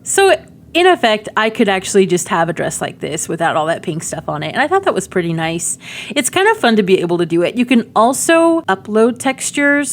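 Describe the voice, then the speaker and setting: A woman's voice: on the low side, slight background noise. A middle-aged woman giving casual, rambling game demo commentary with cutesy slang. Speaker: gaming video creator.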